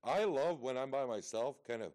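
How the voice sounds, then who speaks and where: A man with a loud voice teaching a church verse by verse. He reads scripture aloud with strong emphasis and breaks into casual asides to his congregation. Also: mid-range voice, animated, moderate pace. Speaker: pastor.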